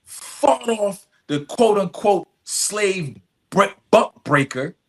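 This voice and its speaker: Higher-voiced middle-aged man, earnest and emphatic. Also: expressive, slow pace. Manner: earnest, emphatic, repeats phrases, often tags with "right?". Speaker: podcast guest, nonprofit executive director. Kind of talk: conversational interview storytelling.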